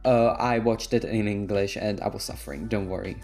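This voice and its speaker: A man's voice: fairly low pitch, steady tone, brisk, a little mellow, soft background music. A young man with a Czech accent giving his opinions in a casual, sassy, slangy rant as a vlogger.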